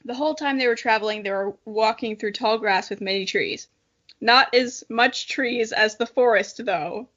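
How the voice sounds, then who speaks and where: Higher-voiced giggly woman, slightly dull recording. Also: moderate pace, a bit bright. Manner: giggly, self-mocking. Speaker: podcast co-host reading aloud. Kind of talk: casual chat and amateur dramatic reading.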